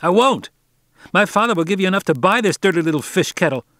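A man voicing a proud, spoiled rich boy who talks in a high, whiny and indignant way. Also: lively intonation, fairly quick, a bit bright, dry recording, clean studio sound.

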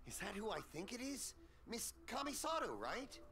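An actor playing a friendly, generous middle-aged stall keeper in a video game, with a hearty, folksy, chatty manner. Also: male voice, very high voice, very animated, moderate pace, pushed and bright, slight background noise, full character voice. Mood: friendly, impressed. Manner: hearty, folksy, chuckles between phrases. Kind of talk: video game dialogue acting. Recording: game music behind the voice.

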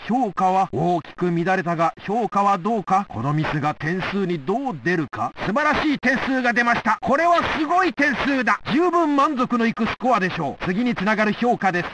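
A male actor voicing an excitable sports announcer for a video game, giving brisk, emphatic play-by-play commentary. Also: high-pitched, a little hiss or hum, low-quality recording. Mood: measured, concerned.

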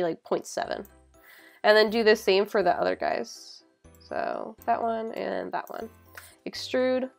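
A young adult woman narrating a software tutorial in a casual, informal way, often saying "like". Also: mid-range voice, gravelly. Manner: informal, hedging with frequent "like". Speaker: tutorial presenter. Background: background music.

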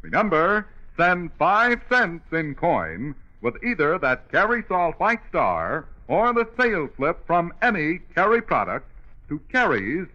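A man's voice: fairly high pitch, wildly swooping pitch, unhurried, bright, forceful tone. An American man delivering an enthusiastic vintage radio commercial aimed at children. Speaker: commercial announcer. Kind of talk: 1940s radio ad pitch. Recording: dull old radio broadcast recording.

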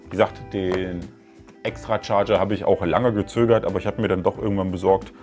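A man's voice: sits low, fairly even intonation, a little fast, darkish tone, soft background music. A man in his thirties casually reviewing gadgets at home, talking in a chatty, explaining way with plenty of fillers. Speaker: tech reviewer. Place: living room at home, indoors.

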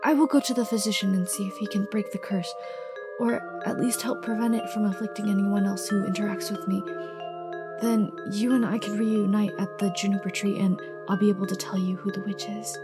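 A woman voicing an anxious, cunning young girl in an animated drama, earnest and guarded, sometimes breathy. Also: mid-range voice, brisk, natural voice. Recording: music under the dialogue.

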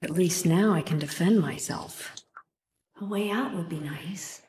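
A woman playing an anxious but plucky, kind-hearted young animator in a horror video game, expressive and often talking to herself. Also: on the low side, a little slow, big-room sound, a little hiss or hum, natural voice. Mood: uneasy, searching. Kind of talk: dramatic horror game voice acting.

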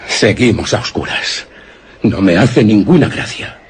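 A man's voice: on the low side, expressive, a little slow, breathy edge, heavily acted. An actor with a Castilian Spanish accent playing a nervous man in a gloomy haunted castle, muttering theatrically in a radio horror drama. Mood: uneasy grumbling. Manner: theatrical, muttering tensely to himself.

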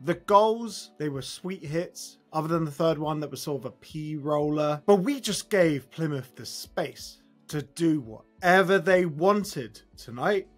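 A man in his thirties giving a blunt, emphatic, exasperated fan reaction to camera after a football match, with a husky voice. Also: fairly high pitch, animated, unhurried, hardly any pauses, faint music behind. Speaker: football fan channel host. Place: small room at home, indoors.

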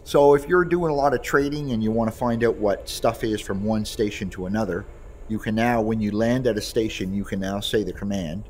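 A man giving a casual, halting, conversational gameplay demonstration. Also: on the low side, a little hiss or hum. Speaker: creator demonstrating his voice pack. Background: music behind the voice.

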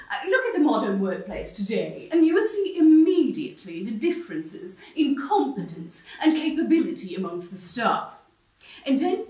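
A woman's voice: a little high, a little mellow. A woman playing an assertive TV debater. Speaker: debate guest. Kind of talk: stage play acting. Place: small stone-walled theater stage. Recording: roomy, distant stage sound.

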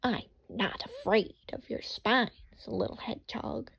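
Sly drawling male fox, slow and smooth with a quiet threat, as a woman puts him on. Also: a little high, fairly even intonation, whispered, exaggerated voice.

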